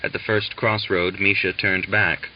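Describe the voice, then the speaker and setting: A man's voice: sits low, flat delivery, unhurried, dull audio. A man reading a novel aloud as scripted literary narration for an audiobook. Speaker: audiobook reader.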